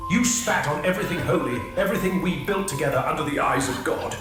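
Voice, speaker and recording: A man's voice: on the high side, fast, lightly acted. An actor playing a bitter, self-righteous husband who condemns his wife with religious scorn, in an audio drama. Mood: furious. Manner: venomous, preaching, full of religious scorn. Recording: very echoey with music underneath.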